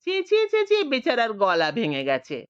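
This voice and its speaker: A woman narrating an audiobook story in a slow, expressive reading. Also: fairly high pitch, clear, resonant tone, no room sound. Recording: dull lo-fi dry recording.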